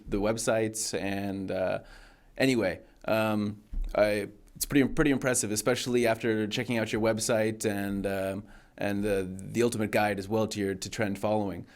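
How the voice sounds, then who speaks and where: A man hosting an interview, asking questions with many ums and repeated words. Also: medium pitch. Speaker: interview host. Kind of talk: casual interview.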